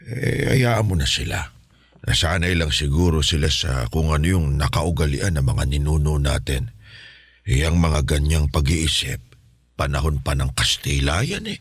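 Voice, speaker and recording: A man playing a kindly, encouraging old grandfather in a Filipino radio drama, with a husky, aged voice and a folksy, reassuring manner. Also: extremely low pitch, lively intonation, dry recording, exaggerated voice. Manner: folksy, reassuring, drawn-out interjections.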